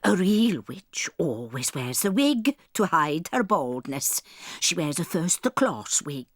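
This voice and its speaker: An actress playing a doting, gravely dramatic old Norwegian grandmother in an audiobook drama, telling things with theatrical emphasis. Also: fairly low pitch, sing-song, no echo, studio quality, heavily acted. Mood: dramatic, instructive. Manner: theatrical, emphatic, slow and deliberate storytelling.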